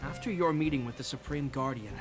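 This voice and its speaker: An actor playing a dutiful young guard captain who speaks formally and solemnly in a game cutscene. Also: male voice, medium pitch, fairly quick, lightly acted. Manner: formal, solemn narration of history. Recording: loud game music behind. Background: game music.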